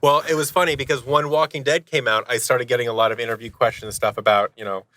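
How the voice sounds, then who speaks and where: A man's voice: medium pitch, steady tone, quick pace, pushed and bright, no room sound, poor audio. A man in his thirties chatting casually and animatedly as a podcast guest, telling stories in a self-deprecating way. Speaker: podcast guest, audio drama producer and actor. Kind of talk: casual conversational storytelling.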